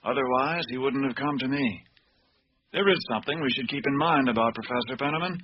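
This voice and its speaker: An actor playing a composed, analytical investigator in a radio mystery, speaking formally and deliberately on an old cassette recording. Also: male voice, mid-range voice, close to an everyday voice. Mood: calm, assured. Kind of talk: radio drama acting. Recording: dull, noisy old cassette tape.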